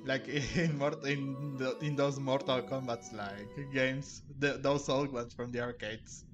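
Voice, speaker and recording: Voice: mid-range voice, steady tone, takes it slowly, over music. A game streamer giving casual commentary, rambling a little and reading dialogue aloud in a hesitant way.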